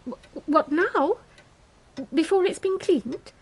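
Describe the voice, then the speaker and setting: An actress playing a kindly, earnest, self-doubting English vicar's wife in a radio drama, polite and a little hesitant. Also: female voice, extremely high pitch, takes it slowly, exaggerated voice. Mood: flustered, hesitant. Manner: polite, hesitant, well-meaning.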